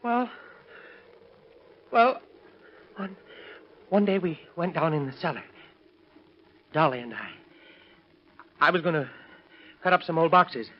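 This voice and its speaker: A man's voice: a little high, lively intonation, slow pace, pauses often. An actor playing an unhinged, resentful, cowardly husband in theatrical, agitated 1930s radio melodrama style, sneering as he speaks. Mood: haunted recitation. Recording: muffled, noisy vintage radio recording.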